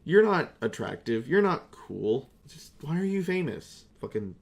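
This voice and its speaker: A man's voice: on the high side, very animated, unhurried, gravelly. A young adult man rambling casually to viewers, profane, sarcastic and self-deprecating, stretching words for emphasis. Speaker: YouTuber and fan-film creator.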